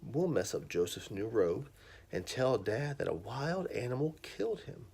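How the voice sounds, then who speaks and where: A man voicing a group of jealous older brothers with loud, mocking outbursts while reading a bedtime storybook. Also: mid-range voice, very animated, a little slow, creaky, husky, full character voice. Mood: scornful. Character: jealous scornful older brothers, young men.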